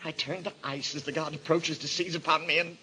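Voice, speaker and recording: An actor playing a mad murderer who believes a destroyer god possesses him, fervent and theatrical, in old radio mystery style. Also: male voice, fairly high pitch, steady tone, bright, forceful tone, a little hiss or hum, low-quality recording. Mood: fearful. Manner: fervent, theatrical, halting when afraid.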